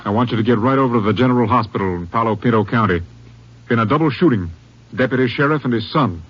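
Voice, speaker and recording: An actor plays a commanding ranger captain, husky and medium in pitch. He gives orders with brisk authority, in a dull vintage western radio drama. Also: close to an everyday voice. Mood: businesslike. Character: businesslike, commanding male Texas Ranger captain. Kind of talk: old-time radio acting. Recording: dull old radio recording.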